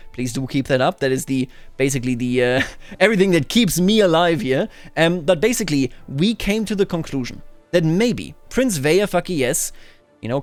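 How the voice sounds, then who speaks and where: Male game commentator, slightly high voice, musing storyteller who explains as he goes, soft music underneath. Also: no echo. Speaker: host of a video game playthrough series.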